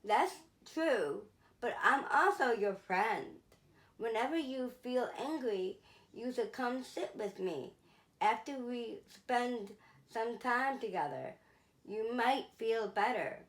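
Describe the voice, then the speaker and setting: A woman voicing a child's anger come to life as a calm, reassuring, friendly storybook companion. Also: on the high side, slow pace, low-quality recording. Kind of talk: storybook character voice in a read-aloud.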